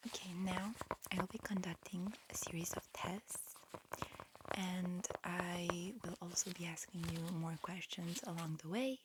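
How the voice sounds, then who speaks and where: A woman voicing a polite alien examiner in human guise, whispering softly and hesitantly in an ASMR roleplay. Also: fairly low pitch, fairly even intonation, measured pace, very noisy, close to an everyday voice. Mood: politely procedural. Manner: soft, hesitant, politely procedural.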